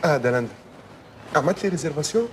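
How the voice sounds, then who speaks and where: A man's voice: fairly high pitch, lively intonation, very fast, background noise, natural voice. A man voicing a self-satisfied doctor and boss in a Tunisian cartoon comedy, pompous and condescending, sprinkling in French words. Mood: smug. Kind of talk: animated sitcom dialogue.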